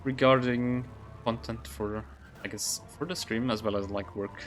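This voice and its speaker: A man's voice: medium pitch, steady tone, measured pace, faint music behind. A man giving casual, rambling live gameplay commentary as a game streamer, easily impressed by what happens.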